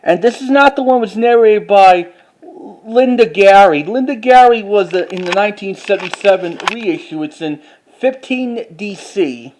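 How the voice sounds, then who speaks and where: A man giving a casual show-and-tell as a collector, talking informally in a halting, repetitive way. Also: high voice, a little mellow, slight background noise. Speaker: collector showing items to camera.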